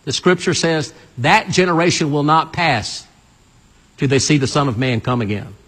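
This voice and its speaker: A preacher in his sixties with a husky, bright edge to his voice, pitched a little high for a man. He urges the congregation to answer back, and his words ring out through a church with a long reverb. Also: moderate pace. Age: 60s. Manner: call-and-response preaching, urging the congregation. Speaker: pastor. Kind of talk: sermon. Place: church sanctuary.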